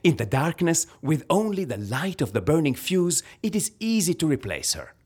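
An actor playing a proud, defensive agency underling in a comedy audio drama, a man with an Italian accent and a husky voice. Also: a little high, sing-song, normal speed, a little mellow. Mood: proud, dramatic.

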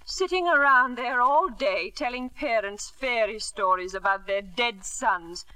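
An actress playing a bitter, grieving wife and mother, sardonic and deliberate, in old radio drama style. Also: fairly high pitch, pushed and bright, rough recording. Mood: bitter mocking. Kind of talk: 1940s-50s radio drama acting.

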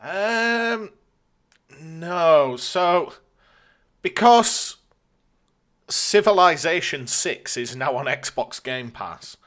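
A man's voice: on the high side, expressive, drawn-out words, long pauses, a bit bright. A British man hosting a podcast in casual chat, with a dry, jokey delivery. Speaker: podcast host.

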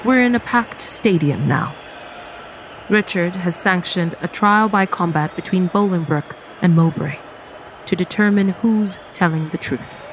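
A man giving scripted scene-setting narration for a radio drama. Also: a little high. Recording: noisy ambience behind.

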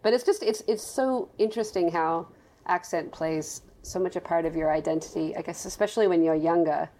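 Brief: late-30s woman, softened Australian accent, slightly low voice, candid interview guest, often restarts phrases. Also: fairly quick. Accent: Australian, softened toward American. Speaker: film and game composer.

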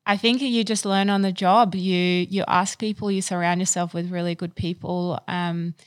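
A woman's voice: fairly low pitch, fairly even intonation, moderate pace, dry recording, clean studio sound. A woman in her thirties from Ukraine, a business owner answering interview questions in a chatty way with many fillers and restarts.

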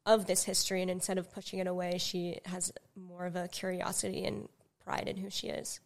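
A young woman from California, a podcast guest speaking in a thoughtful, measured way. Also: on the low side, little pitch movement, gravelly. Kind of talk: casual podcast conversation.

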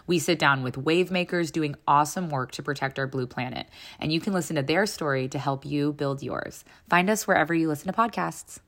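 Low-voiced woman reading a promo. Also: brisk, few pauses, fairly bright tone. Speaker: podcast host in a promo. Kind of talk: scripted promo read.

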